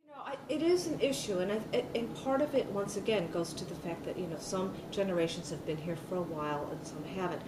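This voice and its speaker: A woman's voice: fairly low pitch, fast talker, hardly any pauses. A middle-aged woman giving an oral history interview, talking loosely with many fillers and restarts. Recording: echoey room, mic at a distance, noisy.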